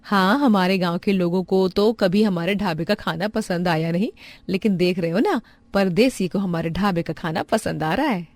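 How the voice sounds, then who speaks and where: A woman voicing a kind, humble young village wife who runs a roadside eatery in a cartoon story, speaking earnestly and gently. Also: fairly low pitch, moderate pace, no echo, lightly acted.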